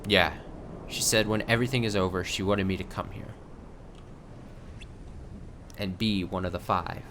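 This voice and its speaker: A man's voice: deep voice, fairly even intonation, normal speed, background noise. A man streaming live reaction commentary on game cutscenes, exasperated and sweary, often repeating his phrases. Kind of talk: live reaction commentary, reading subtitles aloud.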